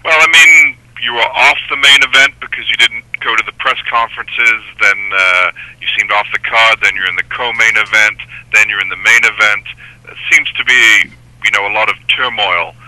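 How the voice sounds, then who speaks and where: Low-voiced male reporter, sharp phone sound. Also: fairly even intonation, normal speed, no room sound, poor audio. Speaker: sports reporter asking questions. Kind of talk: press conference-call questioning. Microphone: telephone.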